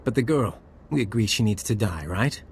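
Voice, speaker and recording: Cocky, ruthless spymaster. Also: male voice, lowish voice, lively intonation, moderate pace, slight background noise. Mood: cold, commanding. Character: cocky, ruthless male spy handler, wryly casual. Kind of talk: video game voice acting.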